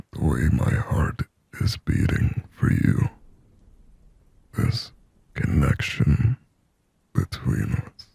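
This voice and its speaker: A man playing a lonely, yearning sleep paralysis demon in a slow, breathy, raspy ASMR whisper, close to the microphone. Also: rumbling low, mellow, no echo, heavily acted. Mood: tender longing. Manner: slow, brooding, pleading, poetic. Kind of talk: whispered ASMR roleplay monologue.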